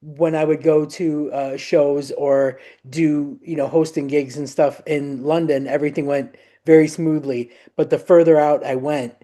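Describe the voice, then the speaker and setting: A man's voice: a little high, fairly even intonation, unhurried, hardly any pauses, mellow. A man co-hosting a podcast, chatting casually and agreeably with his guest. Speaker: podcast co-host. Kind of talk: casual interview chat.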